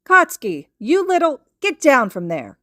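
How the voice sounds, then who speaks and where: A feisty mother, acted out by a woman narrator, with a raised pitch and a brisk delivery. She is a short-tempered woman in a storybook tale. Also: wildly swooping pitch, pushed and bright, no room sound, studio quality, heavily acted. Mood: scolding. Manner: brisk, scolding.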